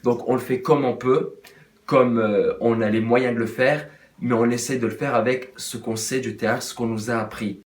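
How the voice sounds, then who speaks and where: A young man, a theatre director, earnestly pitching his company's project in an interview. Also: steady tone, moderate pace. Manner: earnest, persuasive. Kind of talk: promotional interview. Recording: echoey room.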